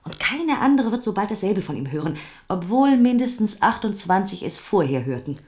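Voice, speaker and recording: An actress in a German radio drama playing an eager, ambitious confidante who urges and scolds. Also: female voice, medium pitch, moderate pace, natural voice. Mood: urgent, coaxing. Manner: urgent, scolding, excitable. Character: eager, ambitious female confidante scheming for wealth and power.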